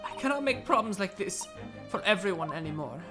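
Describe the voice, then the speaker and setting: A woman's voice: mid-range voice, sing-song, fairly quick, pitched above the performer's own voice, natural voice. Woman doing a Russian seaman in broken English. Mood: pleading. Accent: put-on Russian. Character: Russian ship's seaman, earnest, confessing. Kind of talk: video game dub reading. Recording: game music playing underneath.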